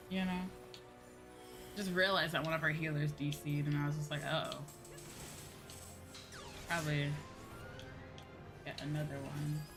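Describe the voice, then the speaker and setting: Female game streamer, low-voiced, informal and rambling, clear music underneath. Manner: rambling, informal, thinks aloud. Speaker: livestreamer playing an online game. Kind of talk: casual livestream chat with game commentary. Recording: music behind the voice.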